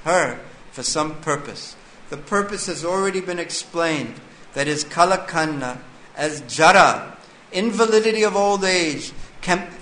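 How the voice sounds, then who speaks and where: A man with a slightly high voice gives a scripture lecture at an unhurried, deliberate pace. He reads a line of text and then explains it to the listeners. The sound is echoey, with faint ambient noise behind him. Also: sharp tone. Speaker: scripture class teacher.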